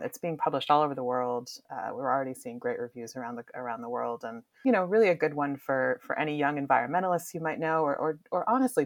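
Woman with a very low voice. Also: no room sound. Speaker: publisher's book marketing director. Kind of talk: casual book recommendations.

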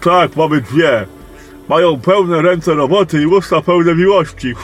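A bluff male camp guard speaks over light music in a fantasy audio drama, acted out by a man. His voice is fairly high and his pace slow. He has a joking, bawdy manner. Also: lightly acted.

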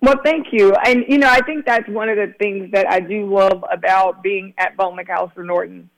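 A man's voice: high voice, steady tone, moderate pace, poor audio. A man, a guest attorney talking earnestly in a casual radio interview with many restarts. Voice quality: raspy.

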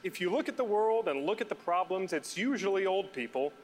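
Crisp formal male debate moderator. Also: high-pitched, lively intonation, a little fast, a little hiss or hum.

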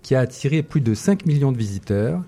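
A man's voice: mid-range voice, lively intonation, a little fast, mellow. A man presenting a guest on a radio culture show, enthusiastic and upbeat. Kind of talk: radio chat.

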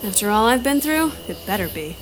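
A woman's voice: a little high, big pitch swings, brisk, noisy background. A woman playing a tough, sardonic space adventurer in an audio drama, with a wry, confident delivery. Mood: guarded, wry. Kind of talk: audio drama character acting. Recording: music playing behind the voice.

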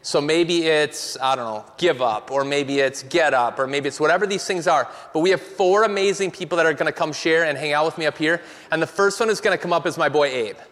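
A man's voice: a little high, fairly quick, hardly any pauses, fairly bright tone. A man hosting a church service from the stage, upbeat and enthusiastic as he introduces a guest. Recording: long reverb of a large hall.

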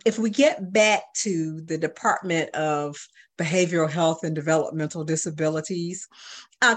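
A woman's voice: on the low side, a bit bright. A woman hosting a radio interview, asking questions in a conversational way. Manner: conversational, asks questions with restarts.

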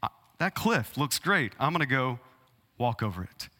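A man's voice: medium pitch, big pitch swings, moderate pace, bright, forceful tone, in a large hall, voice pushed down. A man voicing a dim-witted sheep that follows the flock, in a drawling Texas accent and a carefree manner.

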